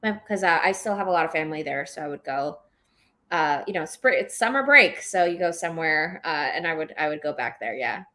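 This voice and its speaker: A woman from Ecuador and New York talks casually as a guest author, halting and then rushing as she explains. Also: low voice, expressive, bright, forceful tone. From born in Ecuador, moved to New York at six or seven. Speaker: novelist, guest at a book club. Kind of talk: casual live discussion about writing.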